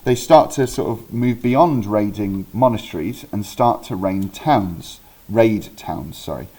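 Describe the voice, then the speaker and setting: A relaxed man with a low, mellow voice, talking through a history lesson the way a teacher does at his desk. He keeps pausing on ums, breaks off to fix a date or a word, then carries on in a friendly, chatty tone. The delivery is unscripted and easygoing. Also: low-quality recording. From Wales. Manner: chatty, self-correcting, with frequent ums and restarts. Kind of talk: informal recorded history lesson.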